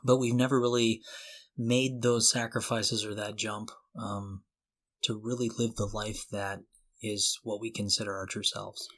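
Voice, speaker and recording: A man's voice: on the low side, flat delivery, a little slow, a bit bright. A man co-hosting a conversational podcast, talking earnestly and a little tentatively. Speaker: podcast co-host.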